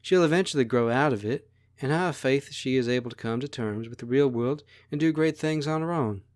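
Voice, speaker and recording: A man voicing a sweet, protective woman of a royal court, speaking softly and gently in a story. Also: mid-range voice, a little fast, no room sound, studio quality, close to an everyday voice. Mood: gentle pleading. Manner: soft, sweet.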